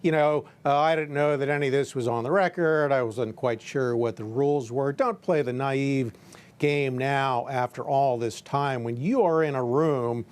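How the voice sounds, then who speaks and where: A man's voice: mid-range voice, moderate pace, clear, resonant tone. A man giving political commentary as a correspondent on a news panel, emphatic and pointed in his phrasing.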